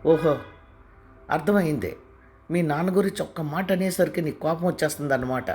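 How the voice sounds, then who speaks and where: A man's voice: fairly high pitch, normal speed, a little mellow, faint music behind, close to an everyday voice. A man voicing an angry, demanding young wife. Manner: scolding, heated.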